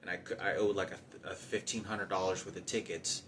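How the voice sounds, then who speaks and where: A man giving an unscripted personal monologue about his own hardships, halting and often circling back to repeat himself. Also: low voice, level tone, a bit bright, a little hiss or hum. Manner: halting, repetitive, circling back over points. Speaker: storyteller recounting personal hardship.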